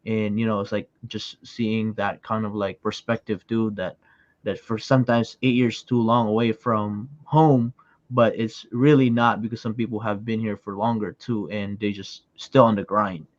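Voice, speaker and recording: A man's voice: lowish voice, steady tone, moderate pace, no echo. A man hosting a casual interview, agreeable and reflective, with many fillers. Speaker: podcast host. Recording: dull dry lo-fi sound.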